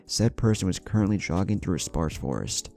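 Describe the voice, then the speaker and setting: A man's voice: deep voice, animated, brisk, gravelly, mellow. A man reading an adventure story as a dramatic scripted narration. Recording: background music under the voice. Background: music.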